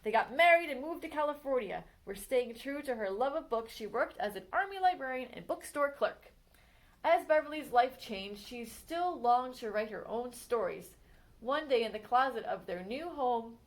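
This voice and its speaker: Brief: female librarian, fairly high voice, animated children's story reader. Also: low-quality recording. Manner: expressive storytime reading, slowing on exciting moments. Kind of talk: children's read-aloud of a picture book biography.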